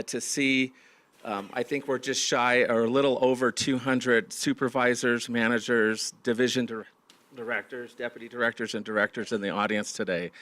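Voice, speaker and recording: A man's voice: medium pitch, a bit bright. A man who is losing his voice, hosting a staff meeting as county manager and speaking to a large audience with many fillers and restarts. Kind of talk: opening remarks to a large audience.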